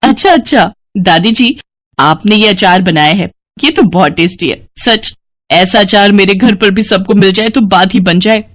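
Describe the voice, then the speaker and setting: A woman's voice: medium pitch, moderate pace, a bit bright, close to an everyday voice. A woman voicing an admiring, friendly young woman in a moral story. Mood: eager request.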